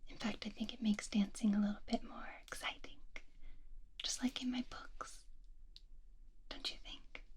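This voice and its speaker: A woman voicing a gentle, bookish young woman who is doting and kind, speaking in a breathy whisper for an ASMR roleplay, soft, tender and reassuring. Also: on the high side.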